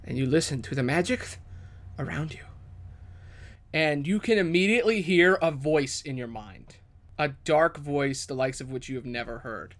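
A man working as game master, narrating a tabletop role-playing game casually with dramatic pauses. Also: fairly high pitch, a bit bright.

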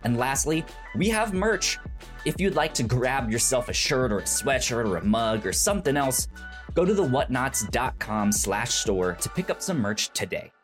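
A man hosting a podcast in a casual, chatty style, sometimes halting and repeating words as he searches for phrasing. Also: mid-range voice, sing-song, soft background music. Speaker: podcast host.

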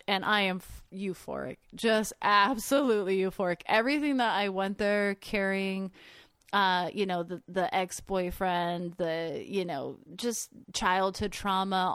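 A woman with a light Californian accent talks in a chatty, storytelling way, as a guest in a relaxed conversation. Her voice sits at an ordinary middle pitch. The sound is close and dry, with almost no room. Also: clear, resonant tone, no room sound. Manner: chatty storytelling, laughs often. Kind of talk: casual conversation.

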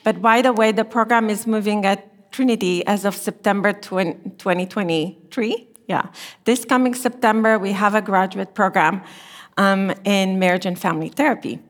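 A middle-aged woman from Romania with a Romanian accent, giving a gentle, unhurried chapel talk as a therapy lecturer. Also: medium pitch. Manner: gentle, unhurried, slightly non-native phrasing. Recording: long reverb in a chapel hall.

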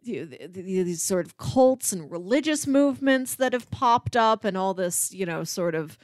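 A woman chats as co-host of a movie and TV podcast. Her voice sits at a middle pitch, recorded dry with no room sound, and her delivery is informal and conversational. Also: lively intonation, normal speed, no room sound. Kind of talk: casual film and TV podcast chat.

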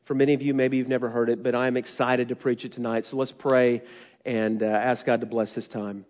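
A man's voice: medium pitch, flat delivery, fairly quick. A young male pastor preaching with a stuffy, nasal voice from a lingering cold. He talks to the congregation in an earnest, casual way. The sound is a little muffled, with a long reverb trailing through the hall. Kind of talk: sermon. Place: church hall.

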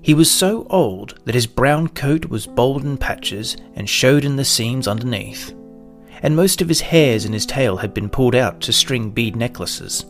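A man reading a children's story as narrator, in a measured, expressive storytelling manner. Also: medium pitch. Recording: soft guitar music behind the voice.

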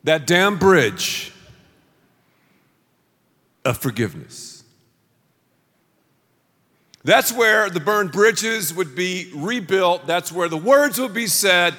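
A middle-aged man preaching a sermon as pastor, telling stories with animated delivery and shouting out acted-out lines. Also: high-pitched, slow, long pauses, long reverb tail.

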